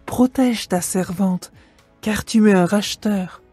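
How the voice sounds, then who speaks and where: A woman playing a humble, devoted young widow, soft and earnest, in a dramatised scripture reading. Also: medium pitch, takes it slowly, husky, soft background music. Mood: humble devotion.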